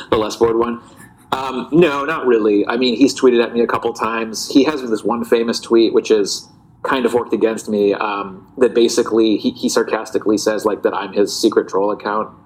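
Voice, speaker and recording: A man, a guest in a casual interview, talking in a relaxed, reflective way with many fillers and restarts. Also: medium pitch, normal speed, poor audio. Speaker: guest, parody account writer.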